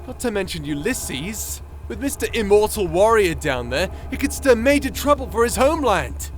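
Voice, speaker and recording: An impassioned, guilt-ridden young-sounding hero played by a man in a fantasy audio roleplay. His voice sits high and he speaks emphatically, tripping over words when upset. There is audible noise behind him. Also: sing-song, normal speed. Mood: urgent, defiant.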